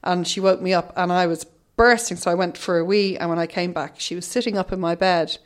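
A woman guest on a podcast, talking frankly and laughing a lot as she tells lively stories. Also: on the low side, fairly quick. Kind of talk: casual chat.

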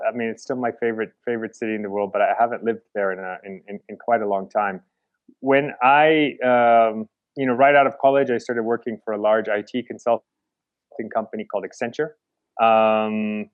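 A man from the Chicago area, a conservation founder answering interview questions in a halting way, with many restarts. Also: fairly low pitch, no echo, muffled recording. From Chicago, United States.

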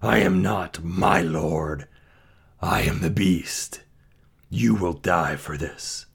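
Guy doing a big scary storybook beast, really low n growly, slow and bossy, menacing. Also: vocal fry, breathy edge, full character voice. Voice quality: growling, snarling. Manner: slow, drawn-out, commanding. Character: hideous male beast, menacing, imperious, snarling.

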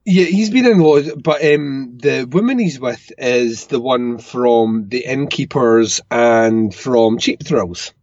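A slightly hoarse man co-hosting a podcast, chatting casually about TV, rambling and often laughing, recorded on a home microphone. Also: medium pitch, lively intonation, takes it slowly, few pauses, darkish tone, no room sound, muffled recording. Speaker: podcast co-host. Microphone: better home microphone.

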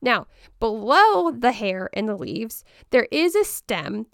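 Chatty woman host, hoarse from the flu. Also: fairly high pitch, big pitch swings, takes it slowly, no room sound, studio quality. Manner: chatty, rambling, with frequent ums and light laughs. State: voice still hoarse after the flu. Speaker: podcast host. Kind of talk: casual solo podcast about houseplants.

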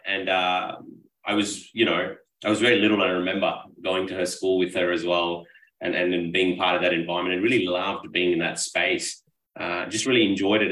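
Deep-voiced man, distant and roomy. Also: a bit bright. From Pakistan. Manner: talkative, reflective, earnest. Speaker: podcast guest, business coach. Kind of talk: casual interview storytelling. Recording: roomy echo, distant mic.

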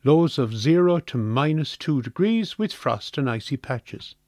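A man's voice: medium pitch, animated, a little slow, a little mellow, no echo, studio quality. A man with an Irish accent reading a scripted weather forecast for radio.

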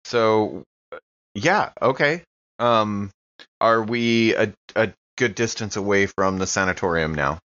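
Male tabletop player, lower register, loose conversational delivery, slightly muffled lo-fi recording. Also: slow, fairly bright tone. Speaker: player in a tabletop roleplaying game. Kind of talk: casual chat and in-character play. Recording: dull, lo-fi sound.

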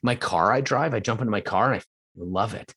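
A middle-aged man from Canada with a fairly low voice, talking as a guest on a podcast. He is emphatic and asks a lot of rhetorical questions. His tone swings between loud excitement and quiet reflection. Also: brisk, vocal fry. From Canada (Edmonton). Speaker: real estate coach, guest. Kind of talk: casual podcast interview.

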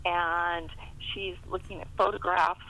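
A woman's voice: on the low side, flat delivery, measured pace, bright, forceful tone, rough recording. A middle-aged woman chatting casually as a novelist being interviewed, often chuckling as she talks. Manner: chuckles often while talking. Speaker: guest, romance novelist.

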